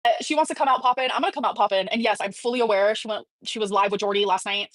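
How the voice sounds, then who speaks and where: A woman's voice: mid-range voice, rapid-fire, a bit bright, no echo. A woman chatting casually on a livestream, gossipy and sassy, often breaking off mid-thought to answer viewers.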